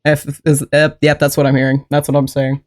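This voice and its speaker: Slightly sick, chatty streamer with a deepened voice. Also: completely flat delivery, fairly quick, darkish tone. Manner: chatty and rambling, laughs often, greets and thanks chat. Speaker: game streamer. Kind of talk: casual chat with a live stream audience.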